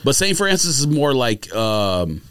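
A man's voice: mid-range voice, big pitch swings, a little slow, a crowd in the background. A man in casual podcast conversation, explaining things and giving his opinions in a conversational, explanatory way. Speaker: podcast panelist. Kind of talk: casual food chat. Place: podcast studio.

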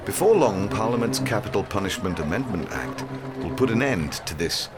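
A man's voice: lowish voice, animated, moderate pace, over loud music. A man reading scripted, dramatic narration of a historical story as its narrator.

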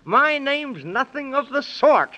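A man's voice: very high voice, big pitch swings, takes it slowly, sharp tone, full character voice. A man playing a silly, fussy, contrary Man in the Moon with exclamatory delivery, in 1930s radio acting style. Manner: fussy, exclamatory, giggly nonsense logic. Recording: dull old 1930s radio recording.

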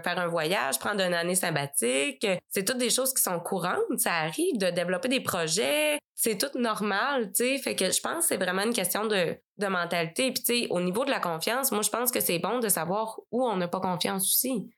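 A woman's voice: mid-range voice, normal speed, hardly any pauses, fairly bright tone. Chatty Québécois woman, laughs often. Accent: Québécois French. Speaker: podcast guest, entrepreneur. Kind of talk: casual conversation.

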